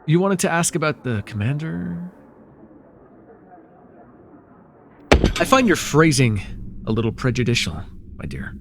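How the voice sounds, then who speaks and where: A man's voice: fairly high pitch, very animated, moderate pace, gravelly, noisy background. A man playing a villainous nightmare lord in an ASMR fantasy roleplay, sly, taunting and flirtatious, with a theatrical, teasing, mockingly sweet manner. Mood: playful, menacing.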